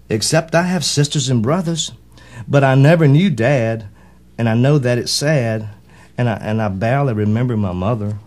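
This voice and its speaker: A man's voice: mid-range voice, a little mellow, lightly acted. A man voicing a friendly, earnest young male duck in a children's storybook, speaking in simple singsong rhyme. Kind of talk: rhyming children's storybook character.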